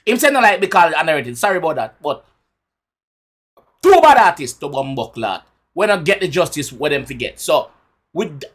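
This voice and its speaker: A man's voice: on the high side, a bit bright. A man with a Jamaican accent giving casual, emphatic music reaction commentary in Patois, often repeating his phrases. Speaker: YouTube reaction host.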